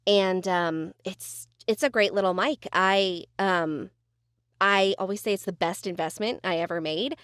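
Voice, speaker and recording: A woman's voice: mid-range voice, unhurried, fairly bright tone, clean tone, no echo, clean studio sound. A woman voice actor co-hosting a podcast, talking in an earnest, advising way with plenty of "you know" fillers. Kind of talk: casual chat.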